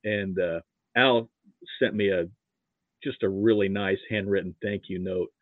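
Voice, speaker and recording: An American man, middle-aged or older, reminiscing casually as an interview guest in a halting way, often repeating words. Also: sits low, fairly even intonation, no room sound. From Nebraska, United States. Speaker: interview guest, football archivist. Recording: thin phone-like line.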